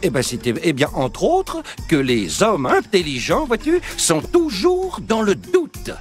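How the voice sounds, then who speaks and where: A man's voice: high voice, wildly swooping pitch, measured pace, faint music behind, full character voice. A man playing a pompous, foolish vizier in a children's cartoon, self-assured yet often hesitating. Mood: smug. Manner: pompous, hesitant, throat-clearing. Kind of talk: animated children's cartoon acting.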